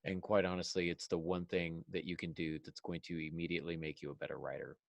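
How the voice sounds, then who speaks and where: Very deep-voiced man hosting a podcast. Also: little pitch movement, fast talker, vocal fry, no echo. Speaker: podcast host and fiction writer. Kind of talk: casual podcast discussion giving writing advice.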